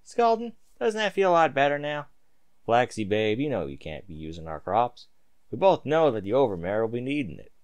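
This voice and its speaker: A man's voice: medium pitch, big pitch swings, a little fast. A man voicing a hard-working, devoted stallion farmer, affectionate and folksy, in a story. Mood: fond, concerned.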